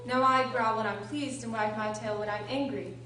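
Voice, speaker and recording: A woman's voice: fairly high pitch, fairly even intonation, normal speed, pushed and bright. A woman playing a mad, grinning cat who talks in teasing, matter-of-fact riddles in a storybook radio drama. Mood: playfully mad. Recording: echoey room, distant mic, faint noise.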